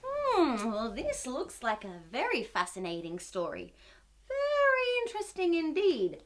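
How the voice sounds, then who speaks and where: A woman's voice: high-pitched, wildly swooping pitch, unhurried. A woman hosting a children's storytime, talking with exaggerated wonder, frequent gasps and questions to her young listeners. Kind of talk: children's read-aloud and singalong.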